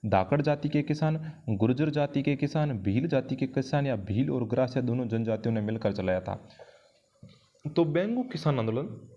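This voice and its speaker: A man's voice: mid-range voice, animated, normal speed, warm tone. A man teaching an exam-prep lesson, explaining and repeating key phrases for emphasis, recorded on a dull lo-fi microphone. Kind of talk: history lesson going through quiz questions.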